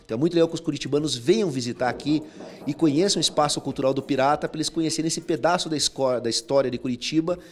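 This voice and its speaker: A man's voice: a little high, racing. A man, a researcher explaining history in a TV interview. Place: small indoor restaurant room. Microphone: handheld microphone.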